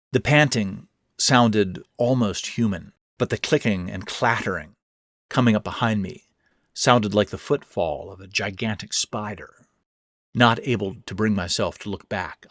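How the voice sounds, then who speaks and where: A man's voice: fairly low pitch, a bit bright, no room sound, studio quality. A man reading his own first-person horror fiction as narrator, in a dramatic, suspenseful way. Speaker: author and narrator.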